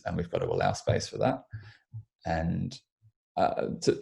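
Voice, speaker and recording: Young Australian man, physiotherapist guest, very deep voice with vocal fry, thoughtful and hesitant. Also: a little mellow. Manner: measured, with frequent restarts and ums. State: slightly croaky in the morning. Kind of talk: thoughtful interview answers.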